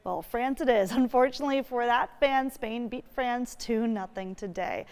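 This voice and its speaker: A woman anchoring a local evening newscast with bright, upbeat scripted delivery. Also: on the high side, moderate pace, long reverb tail. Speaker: news anchor.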